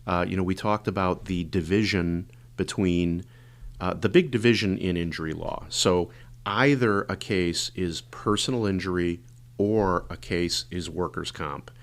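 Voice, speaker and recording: Brief hoarse male podcast host, very low voice, loose rambling delivery. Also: a little slow. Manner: rambling, filler-heavy explaining. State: hoarse and congested. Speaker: podcast host, injury lawyer. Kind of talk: casual podcast monologue.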